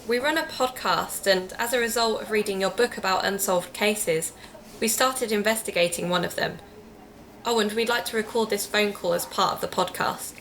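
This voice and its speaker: A woman's voice: mid-range voice, normal speed, fairly bright tone, slight background noise, natural voice. A British woman playing a polite, persuasive amateur podcast investigator in an audio drama, courteous and explanatory.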